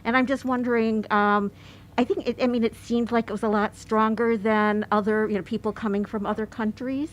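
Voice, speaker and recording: A woman's voice: a little high, fairly even intonation, fairly quick. A woman from Detroit with an American accent, telling a conversational personal anecdote as an audience member before asking a question. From Detroit, United States. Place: bookstore event.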